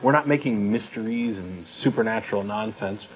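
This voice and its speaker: A man's voice: lowish voice, moderate pace, slight background noise, rough recording. A man speaks as a talk show host, debating in an emphatic, assertive and conversational way.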